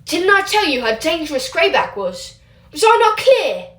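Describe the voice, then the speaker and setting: A woman doing a gruff scolding old wizard. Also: a little high, expressive, normal speed, lightly acted. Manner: angry, scolding, protective. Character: gruff, stern older male wizard teacher. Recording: roomy echo.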